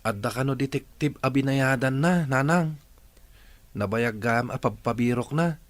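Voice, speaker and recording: A man's voice: medium pitch, normal speed, lightly acted. An actor playing an earnest, helpful young man in a radio drama, talking conversationally.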